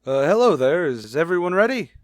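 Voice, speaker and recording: A man's voice: a little high, very animated, fairly quick, no room sound. An earnest, naive bachelor with a bashful, hesitant way of talking. He sounds like an old radio comedy suitor, in a dry studio. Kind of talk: 1940s-50s radio sitcom acting.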